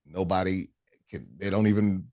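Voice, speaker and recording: Low-voiced earnest American man. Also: fairly even intonation, moderate pace, mellow, no room sound, studio quality. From United States. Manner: earnest, emphatic, repeats phrases for stress. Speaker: guest, travel tour organizer. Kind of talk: conversational interview.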